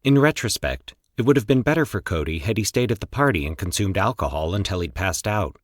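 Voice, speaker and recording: A man narrating scripted horror stories as a podcast host, in a theatrical, measured storyteller's manner. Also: on the low side, lively intonation, no echo, studio quality.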